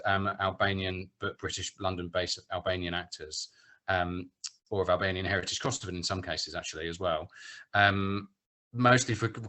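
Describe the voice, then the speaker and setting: Man, low even pitch, forceful bright tone, halting informal explanation, online panel speaker. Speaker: panel speaker, theatre producer. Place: online video call.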